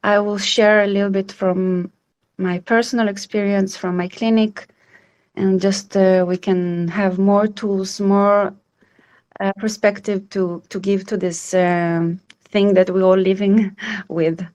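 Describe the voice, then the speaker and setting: A woman's voice: mid-range voice, little pitch movement, slow pace, a little mellow, smooth. A woman in her thirties from Israel, hosting an online support group in a welcoming, reassuring way over a video call. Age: 30s.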